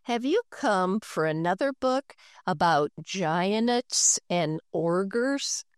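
A woman's voice: medium pitch, lively intonation, extremely slow, clean tone, no room sound, clean studio sound. A woman reading aloud and voicing a kindly, teasing librarian in a storybook.

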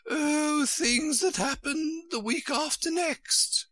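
A man voicing a flighty, imperious older queen from a storybook in a shrill, squeaky, swooping voice. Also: huge pitch swings, slow pace, a bit bright, dry recording, full character voice. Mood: gleeful, insistent. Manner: emphatic, swooping, exclaiming.

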